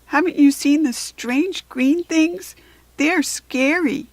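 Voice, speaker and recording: A woman's voice: sits high, slow pace, dry recording, voice pushed up. A woman with an American accent voicing a friendly, easily scared little male baby zebra with a breathless voice in a children's storybook.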